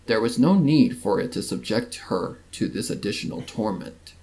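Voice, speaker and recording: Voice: medium pitch, animated, moderate pace, darkish tone, rough recording. A narrator giving a scripted, dramatic reading of a story. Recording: music under the voice. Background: clear background music with guitar.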